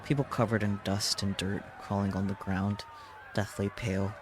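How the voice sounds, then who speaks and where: A man's voice: on the low side, level tone, moderate pace. A man reading a visual novel aloud as dramatic narration. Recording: clear background noise.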